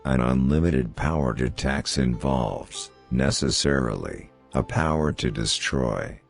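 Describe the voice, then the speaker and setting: A man reads famous quotations as a scripted narration, in a measured, formal manner. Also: extremely low pitch, gravelly. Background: background music.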